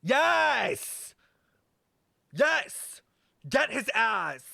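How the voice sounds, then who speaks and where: Animated, blunt woman game streamer. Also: a little high, extremely slow, creaky, no echo, studio quality. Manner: blunt, profane, exaggerated outrage. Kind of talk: casual live game reaction commentary.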